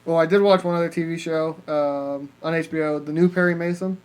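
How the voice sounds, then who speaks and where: A man's voice: fairly high pitch, fairly even intonation, fairly quick, clean tone. A man hosting a podcast, casually reviewing films in a rambling, sweary way. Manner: rambling, profane, filler-heavy storytelling. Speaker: podcast host. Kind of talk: casual movie review chat.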